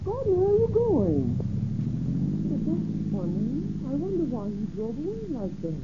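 A woman's voice: fairly high pitch, wildly swooping pitch, normal speed. An actress in 1930s radio style playing an anxious banker's wife, fretful and urgent about her missing husband. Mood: frantic, urgent. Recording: hissy, narrow-band old radio recording.

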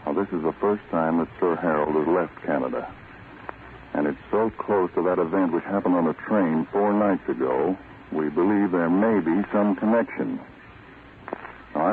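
Male actor as authoritative spy chief, deep register, deliberate instructions, old radio drama, thin noisy sound. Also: close to an everyday voice. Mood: curt, secretive. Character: commanding male American counter-spy chief, brisk and secretive. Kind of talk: 1940s radio drama acting. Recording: tinny, noisy vintage radio recording.

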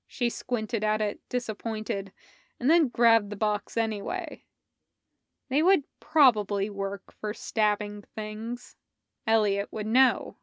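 Lady reading fan fiction out loud, takes her time, measured, really dry sound. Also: fairly high pitch, smooth, dry recording. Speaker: podfic reader. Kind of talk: scripted narration of fan fiction audiobook.

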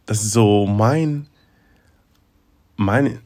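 A man's voice: fairly low pitch, extremely slow, no echo. A young man co-hosting a podcast, talking casually and hesitantly with lots of fillers. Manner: hesitant, many fillers, laughs loudly. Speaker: podcast co-host. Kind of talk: casual chat.

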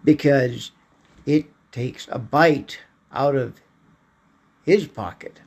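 A man with a hoarse voice, hosting a political commentary podcast in a slow, halting way, often clearing his throat. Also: medium pitch, darkish tone. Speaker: podcast host.

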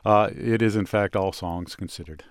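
A man's voice: lowish voice, steady tone, normal speed, smooth, no room sound. A man co-hosting a music radio show with casual chat.

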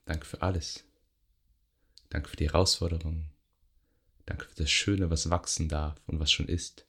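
A man guiding a yoga nidra meditation in a gentle, unhurried way, pausing between short phrases. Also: a bass voice, level tone, husky. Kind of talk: guided meditation, soothing relaxation instruction.

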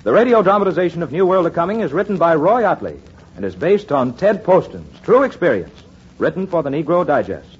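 A man's voice: a little high, big pitch swings, a little fast. A man announcing the credits of a radio program in a formal, declamatory broadcast style. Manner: crisp, declamatory credits reading. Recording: dull 1940s radio broadcast recording with music.

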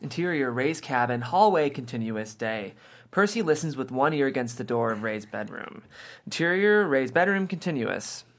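A man's voice: medium pitch, lively intonation, moderate pace, a bit bright. Man narrating screenplay stage directions. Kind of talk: scripted narration.

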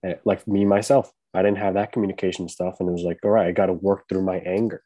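A man hosting a podcast in casual conversation, with a calm, laid-back, monotone delivery. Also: deep voice, quick pace, dry recording. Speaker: podcast host.

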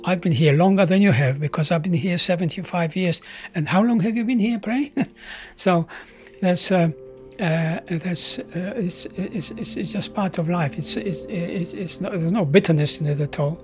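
A man in his eighties from Poland with a Polish accent, speaking reflectively and a little wryly, with hesitant restarts. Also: high voice. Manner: hesitant with many restarts, wry. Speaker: interviewee. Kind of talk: reflective personal talk.

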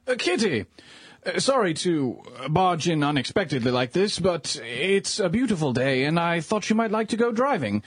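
An actor playing an awkward, smitten thirty-year-old English lord in a radio drama, hesitant, polite and easily flustered. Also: male voice, on the high side, very animated, moderate pace, breathy edge, no room sound.

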